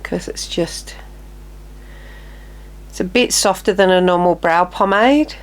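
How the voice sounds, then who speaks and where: Woman in her fifties, low voice, a bit nasal, chatty beauty vlogger, mutters asides under her breath. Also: takes it slowly. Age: 50s. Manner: chatty, drifts into murmured asides while applying makeup. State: slightly nasal, recovering from illness. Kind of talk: casual makeup tutorial chat.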